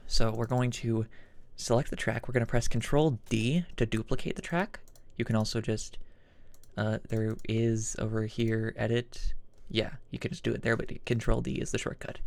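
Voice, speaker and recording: A man with a mid-range voice hosts a casual software tutorial. He talks informally and haltingly, thinking aloud as he works through the steps. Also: medium pitch, creaky, no echo. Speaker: tutorial host.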